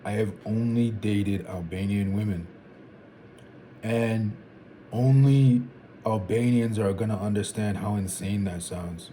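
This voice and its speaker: Twenties guy rambling to camera, lowish mellow voice, lots of like and bro. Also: a little hiss or hum. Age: 20s. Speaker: vlogger. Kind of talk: casual reflective monologue.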